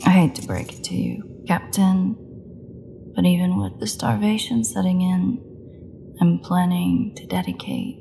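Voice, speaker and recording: A woman's voice: fairly low pitch, gravelly, dark tone, a little hiss or hum, exaggerated voice. A woman playing a starving, imprisoned female vampire in an audio roleplay, taunting her captors with a cocky, sarcastic drawl. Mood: defiant sarcasm. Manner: sarcastic, teasing drawl with dramatic drops. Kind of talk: solo audio roleplay acting.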